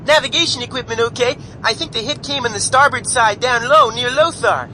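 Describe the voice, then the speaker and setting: An actor playing a blunt, excitable bomber crewman in a satirical radio play, with an urgent manner. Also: a little fast, heavily acted, rough recording. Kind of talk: satirical radio comedy drama. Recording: aircraft engine rumble behind.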